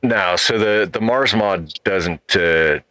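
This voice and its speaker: Man, very deep voice, dry sound, hesitant, casual podcast talk. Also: slow pace, bright, forceful tone, dry recording. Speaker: podcast co-host. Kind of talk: casual panel chat.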